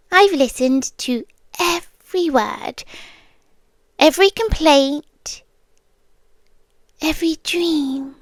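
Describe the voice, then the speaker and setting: Woman acting a high-pitched, possessive British yandere girlfriend. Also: no echo, studio quality, full character voice. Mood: quietly possessive. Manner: soft-spoken, deliberate, often slipping into whispers. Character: possessive, menacing yandere girlfriend, young woman, sweetly condescending. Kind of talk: ASMR roleplay. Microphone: close, dry microphone.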